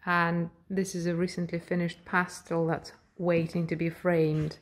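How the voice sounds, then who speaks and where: A woman with a British accent, a portrait artist, calmly explaining her home studio as she films it on a phone. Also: lowish voice, unhurried. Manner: calm, explanatory, pointing things out. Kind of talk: guided studio tour narration. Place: home art studio, indoors.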